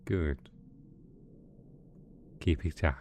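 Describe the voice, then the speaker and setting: A man playing a doting, possessive mad scientist boss in an ASMR roleplay, soft-spoken, coaxing and sweetly sinister. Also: deep voice, lively intonation, unhurried, a little hiss or hum. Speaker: voice actor in audio roleplay.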